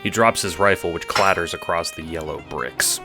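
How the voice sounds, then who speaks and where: A man's voice: deep voice, normal speed. A man narrating an audio drama in a dramatic, scripted storytelling style, with music behind him.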